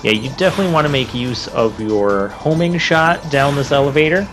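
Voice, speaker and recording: A man's voice: mid-range voice, a little fast. A man giving casual let's play commentary on a video game, chatty and informal, thinking aloud as he plays. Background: game music and crashing effects.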